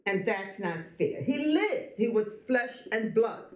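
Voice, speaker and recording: A woman's voice: fairly high pitch, expressive, takes it slowly. A woman in her late sixties giving a personal life-story talk to students, conversational and digressive, speaking directly to her listeners. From born in Belgium. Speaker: guest speaker, Holocaust survivor telling life story to students. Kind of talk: personal testimony talk to a school audience. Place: echoey room, live talk to a group. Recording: echoey room, dull muffled sound.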